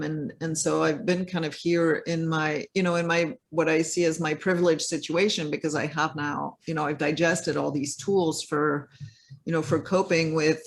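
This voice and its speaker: A man's voice: fairly high pitch, creaky. An interview guest talking casually from his home, hesitant, with many fillers and restarts. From Belgium or United States. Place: home in a French village.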